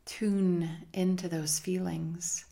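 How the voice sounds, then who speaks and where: Woman, meditation guide, low-pitched, even and flat intonation, hushed, measured, long pauses. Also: gravelly. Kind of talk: slow guided meditation narration.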